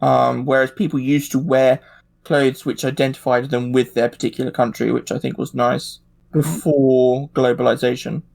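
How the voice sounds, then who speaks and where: Creaky-voiced man chatting casually. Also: medium pitch, little pitch movement, moderate pace, a little mellow. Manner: hesitant, thinking aloud. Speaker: podcast regular. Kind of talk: casual discussion.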